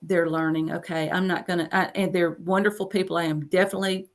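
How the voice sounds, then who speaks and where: Chatty low-voiced woman in her fifties. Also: steady tone, fast talker. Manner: chatty, repeats phrases for emphasis. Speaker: interview guest, coach. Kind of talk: casual interview storytelling.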